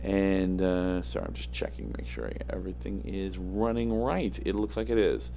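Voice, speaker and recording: A man hosting a casual show from his home studio, with a gravelly, raspy, slightly nasal voice, rambling with plenty of fillers and self-deprecating asides. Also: deep voice, moderate pace, mellow. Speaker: host. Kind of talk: casual livestream talk. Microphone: studio microphone. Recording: faint hum in the electronics. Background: faint electrical hum.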